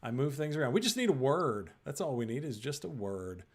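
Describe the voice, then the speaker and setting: A man hosting an improv show over a video call, lively and quick with asides and frequent laughs. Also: mid-range voice, husky.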